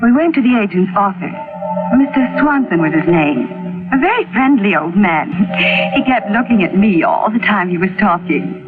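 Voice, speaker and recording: An actress playing a vain, anxious middle-aged wife in an old-time radio mystery, speaking in a dramatic, confiding way. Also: female voice, mid-range voice, expressive, normal speed, lightly acted. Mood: pleased, vain. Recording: tinny vintage radio recording with music.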